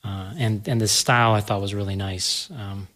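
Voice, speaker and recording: Male panel guest, low voice, casual explanatory film talk. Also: flat delivery, moderate pace, fairly bright tone.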